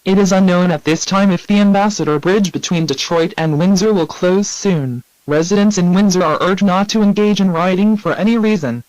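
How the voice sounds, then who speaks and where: Male emergency announcer, high pitch, formal scripted read, close dry audio. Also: a little fast, few pauses, a little mellow, no room sound, rough recording. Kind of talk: scripted emergency bulletin reading.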